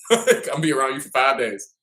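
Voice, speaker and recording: A man co-hosting a podcast, speaking thoughtfully with frequent pauses and restarts. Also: mid-range voice, big pitch swings, sharp tone. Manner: thoughtful, hesitant with many "um"s and restarts. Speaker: podcast co-host. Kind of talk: casual conversational interview.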